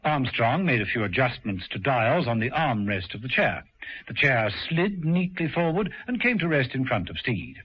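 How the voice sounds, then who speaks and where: Male narrator, measured, lively delivery, a bit husky; dull radio sound. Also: mid-range voice. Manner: measured storytelling.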